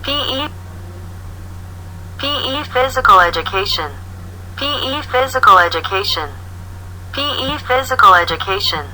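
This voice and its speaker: Course audio voice reading words for kids, really bright tone, swoopy and slow. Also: medium pitch, lively intonation, slight background noise, low-quality recording. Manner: says each word three times, slow and very bright. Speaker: textbook audio word reader. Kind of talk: listen-and-repeat vocabulary drill.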